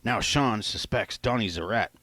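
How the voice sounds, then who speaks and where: A man's voice: lowish voice, normal speed, bright, forceful tone, dry recording. A man voicing a blunt, slangy, profane young skater buddy in an audiobook story. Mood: blunt, dismissive.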